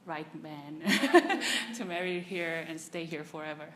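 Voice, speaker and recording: A young woman, an international student answering interview questions in a chatty way in halting English, laughing easily. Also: sits low, a bit bright. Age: young adult.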